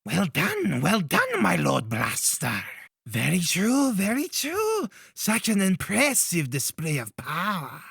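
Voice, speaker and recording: High, creaky fawning alien minion. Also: male voice, wildly swooping pitch, slow, fairly bright tone, no room sound, studio quality, heavily acted.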